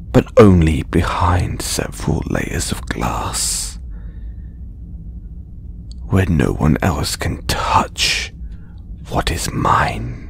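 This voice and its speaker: A man playing a condescending, possessive alien trophy hunter in an audio roleplay, speaking deliberately in breathy half-whispers with long pauses. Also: sits low, full character voice. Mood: possessive, intimate. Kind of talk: slow audio roleplay monologue to listener.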